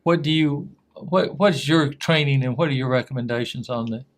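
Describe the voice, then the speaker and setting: A man hosting a TV interview show, asking questions in a halting way and often repeating his words. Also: mid-range voice. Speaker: host.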